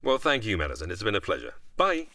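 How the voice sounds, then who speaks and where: Bright, animated man explaining history. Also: fairly low pitch, fast talker, no echo, clean studio sound. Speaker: guest expert explaining history. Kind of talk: explanatory interview, largely prepared narration.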